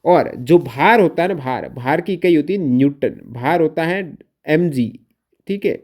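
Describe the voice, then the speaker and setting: A man's voice: fairly high pitch, expressive, unhurried, darkish tone. A man working as an exam coaching teacher, explaining questions to students, repeating answers for emphasis and mixing in English technical terms.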